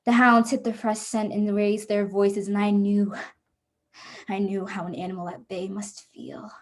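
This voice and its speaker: An actress playing a hunted adventurer in a radio drama, telling of the escape with suspense and defiance. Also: female voice, medium pitch, little pitch movement, a little slow, natural voice. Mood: tense, desperate. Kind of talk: first-person radio drama narration.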